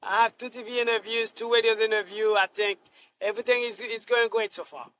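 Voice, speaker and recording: Halting man, high voice. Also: steady tone, pushed and bright, clean tone. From Haiti. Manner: halting, many restarts and fillers. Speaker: Senate candidate. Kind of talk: interview answers.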